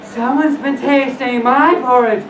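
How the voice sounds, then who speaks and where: A woman's voice: sits high, fairly even intonation, measured pace, pitched above the performer's own voice. A woman voicing an indignant middle-sized mother bear from a storybook, declaiming her complaint. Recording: echoey room, distant mic, noisy.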